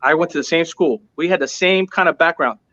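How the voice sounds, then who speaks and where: Guest-interview read: high-pitched man, earnest and emphatic, hammering points through repetition, heard over muffled lo-fi audio. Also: fairly quick, pushed and bright. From United States. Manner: emphatic, repeats points for stress. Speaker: restaurant owner, interview guest. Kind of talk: earnest motivational storytelling.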